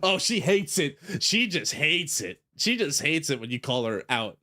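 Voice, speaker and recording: A man's voice: a little high, very animated, unhurried, sharp tone. A man casually commenting while playing a video game, joking and mocking himself. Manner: joking, self-mocking asides. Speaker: game streamer. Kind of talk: casual let's-play commentary.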